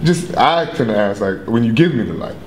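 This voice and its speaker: A man's voice: medium pitch, lively intonation, brisk, background noise. A male stand-up comedian in a casual group chat, explaining at length in a rambling way and laughing often. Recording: roomy echo.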